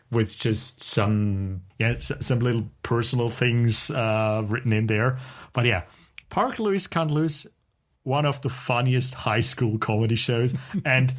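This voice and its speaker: Man, middle-aged, podcast co-host, casual chat, enthusiastic, halting phrasing, medium pitch. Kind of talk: casual chat about TV shows.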